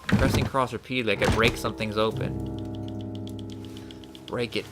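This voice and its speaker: A man casually commenting on a game as he plays, thinking aloud and reading the notes he finds out loud. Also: on the low side, steady tone, moderate pace, over loud music. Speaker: let's play host.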